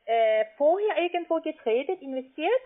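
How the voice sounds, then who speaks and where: Female telesales agent, non-native with foreign accent, highish voice, halting phrasing, narrow telephone sound. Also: very animated. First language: not German. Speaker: call-centre sales agent. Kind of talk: phone sales pitch. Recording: thin, band-limited phone call.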